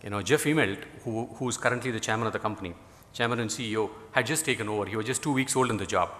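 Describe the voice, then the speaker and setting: A man's voice: fairly low pitch, racing, a bit bright, slight background noise. A middle-aged man from India with an Indian English accent, a native Tamil speaker, giving a conference talk in a rambling way with lots of "you know" and dry jokes. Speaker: guest speaker. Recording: hall reverb.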